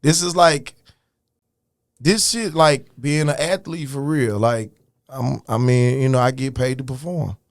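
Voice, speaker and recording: A man in an interview chair talks in a relaxed, frank and profane way, often restarting his sentences. His voice sits in an ordinary middle register. The sound is close and dry, with no room around it. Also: mid-range voice, a little slow, dry recording. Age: adult, older than his early years. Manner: laid-back, frank, profane, restarts and "you know what I'm saying". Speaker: rapper guest. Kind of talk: casual podcast interview.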